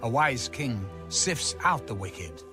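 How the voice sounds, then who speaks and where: A man reading scripture aloud in a solemn, measured, declamatory style with music behind him. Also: medium pitch, very animated. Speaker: Bible reader.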